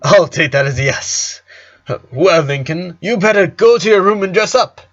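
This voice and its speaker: Male reader as upbeat cartoon-style father, pitched up high, jolly and insistent, slightly muffled recording. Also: lively intonation, normal speed. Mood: cheerful. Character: cheerful middle-aged dad, brightly upbeat and insistent.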